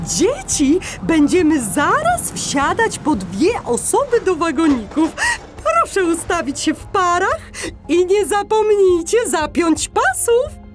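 Very high-pitched parrot schoolteacher. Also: female voice, very animated, slow, music in the background, heavily acted. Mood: cheerful. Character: cheerful female parrot schoolteacher, kindly, leading her pupils. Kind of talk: children's audio play acting.

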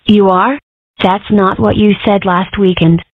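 A woman voicing a young, skeptical fox girl in a cartoon comic dub, with wry, deadpan delivery. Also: medium pitch, measured pace, no echo, close to an everyday voice. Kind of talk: scripted comic-strip dub. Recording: dry, tinny phone-like audio.

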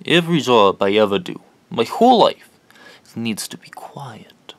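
An actor playing a menacing, brooding foreign hired killer in a radio drama, with deliberate, theatrical pauses and sudden swells. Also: male voice, medium pitch, very animated, no room sound. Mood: brooding intensity. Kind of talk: comic-book radio drama acting.

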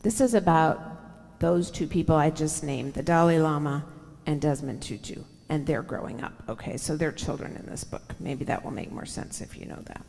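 A middle-aged woman reading a picture book aloud to children, expressive and lingering on words, with conversational asides. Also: deep voice, normal speed, vocal fry. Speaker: church storyteller for children. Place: large church sanctuary, seated indoors. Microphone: headset microphone. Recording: church hall reverb.

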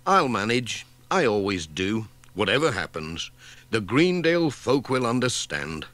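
A man's voice: on the low side, sing-song, measured pace, a bit bright, no echo. A man voicing a cheerful, easygoing village postman with a reassuring manner in a children's storybook.

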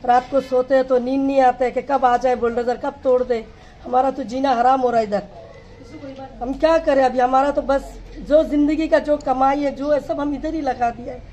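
A woman's voice: high voice, fairly even intonation, normal speed, mellow. A woman in her fifties, a local resident, interviewed in the street, complaining in a distressed, pleading way. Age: 50s. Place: street outdoors.

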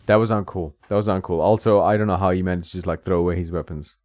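A man with a deep, low voice chats easily over a retro fighting game, laughing and talking back to the screen. His voice is dry and close with a slightly dull top end. Faint game music plays underneath. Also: steady tone, fast talker, darkish tone, no room sound. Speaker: gaming channel host. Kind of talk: casual gameplay commentary.

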